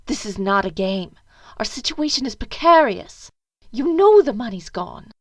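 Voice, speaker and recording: A woman's voice: fairly high pitch, sing-song, measured pace, no room sound, exaggerated voice. A woman playing a stern, anxious upper-class mother in an audio drama, imperious and pleading. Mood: stern, urgent. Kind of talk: fan audio drama acting.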